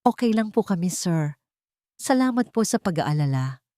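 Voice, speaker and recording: A meek young housemaid, played by a woman, answers with careful respect. She is hesitant and easily flustered, in a gentle, dry-recorded audio drama voice. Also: fairly low pitch, very animated, moderate pace, no room sound. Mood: surprised, polite. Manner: deferential, hesitant. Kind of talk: audio drama character dialogue.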